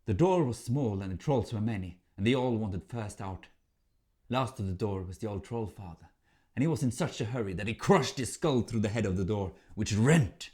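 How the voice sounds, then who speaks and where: A man with a fairly low voice tells a folk tale. His narration is theatrical and measured, shaped like spoken storytelling for listeners. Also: lively intonation, darkish tone.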